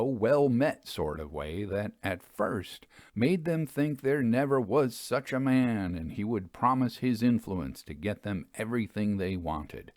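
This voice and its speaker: A man narrating in a literary storytelling style, with measured, deliberate phrasing and emphatic stresses. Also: fairly low pitch, no room sound, studio quality. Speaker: narrator reading ghost stories aloud.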